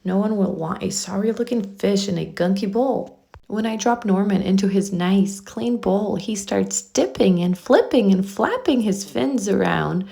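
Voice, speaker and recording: A woman narrates a picture book for young children in an expressive read-aloud style. Her voice sits at a middle pitch with a noticeable creak, and she stretches important words for emphasis. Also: unhurried.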